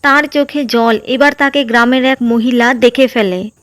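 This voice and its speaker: A woman narrating a cartoon moral story in a steady, gentle way, with faint music behind. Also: a little high, normal speed. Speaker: narrator and voice of Seema.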